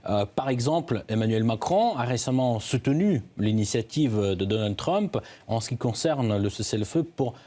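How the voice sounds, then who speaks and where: Man, news analyst, dark mellow timbre, medium pitch, hesitant, halting live commentary. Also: animated. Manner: halting, hesitant delivery with repeated word starts.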